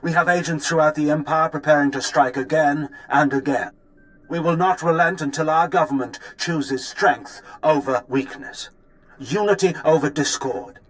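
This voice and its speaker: A fervent rebel leader in a video game, played by a man. His voice is slightly high for a man. He declaims with defiance, as if addressing a whole nation by broadcast. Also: moderate pace, a bit bright, lightly acted. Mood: fervent, defiant. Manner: oratorical, defiant. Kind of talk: propaganda speech, video game acting.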